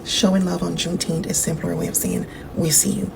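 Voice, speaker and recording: A Jamaican American woman giving a measured, conciliatory response in formal wording. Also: fairly low pitch, little pitch movement, vocal fry, very noisy. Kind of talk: measured spoken response to another poster.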